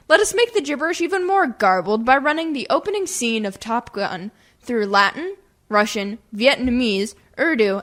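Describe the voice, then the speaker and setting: A woman's voice: fairly high pitch, fairly bright tone. A woman announcer delivering scripted introductions for radio comedy sketches in a theatrical presenting manner. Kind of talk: scripted radio show narration.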